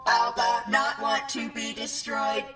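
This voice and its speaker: A woman's voice: high-pitched, little pitch movement, takes it slowly, loud music behind the voice. A woman playing a blunt, dangerous robot in an old-time radio drama, speaking in stilted broken sentences. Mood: flat, menacing. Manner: stilted broken speech, speaks of itself by name. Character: childlike mechanical robot servant, blunt and dangerous.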